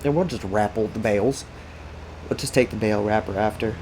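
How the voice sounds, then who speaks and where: A man's voice: fairly low pitch, brisk, noisy background. A congested, sniffly man giving rambling, casual commentary while playing a farming game, thinking aloud to himself and his chat. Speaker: gaming streamer.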